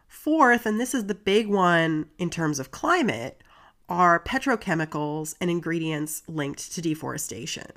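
A woman hosts her own podcast while getting over a cold, her voice congested and set a little low. She talks chatty and earnest, walking through facts with small asides. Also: a bit bright. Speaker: podcast host. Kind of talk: solo podcast monologue, reading facts.

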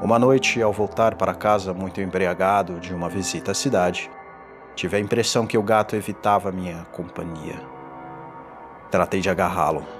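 A man playing a tormented condemned man in a gothic horror audio drama, confessing in a formal, theatrical and deliberate delivery. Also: low-pitched, steady tone, lightly acted. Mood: dark, remorseful. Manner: theatrical, deliberate, ornate diction. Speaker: narrator. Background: music.

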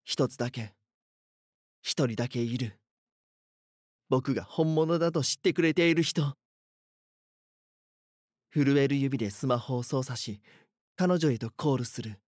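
An actor playing a frightened schoolboy who narrates a horror audio drama in an earnest, dramatic way. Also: male voice, mid-range voice, unhurried, long pauses, darkish tone, dry recording, clean studio sound, natural voice. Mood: tense, hushed. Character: frightened schoolboy haunted by his doppelganger. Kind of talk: first-person scripted horror narration.

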